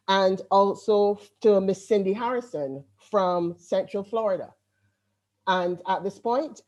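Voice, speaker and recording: A woman's voice: medium pitch, mellow, muffled recording. A woman with a Barbadian accent hosting an online poetry event, chatting informally and introducing each guest. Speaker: event host.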